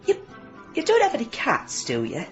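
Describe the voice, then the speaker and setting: A scheming woman who runs a hair salon, all guarded politeness. Her voice is fairly high and very mobile, in a radio drama with a soft-edged, dull recording. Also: wildly swooping pitch, normal speed, faint music behind. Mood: sly, prim.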